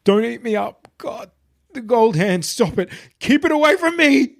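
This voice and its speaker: A man's voice: very high voice, very animated, unhurried, gravelly, voice pushed up, full character voice. A man voicing a terrified young man in a narrated story, babbling and pleading in broken phrases like a frightened child.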